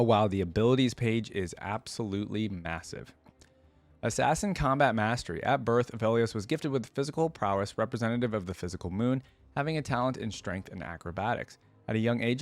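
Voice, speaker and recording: A man reading lore aloud for a reaction video, dropping in casual, curious asides as he thinks out loud. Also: on the low side.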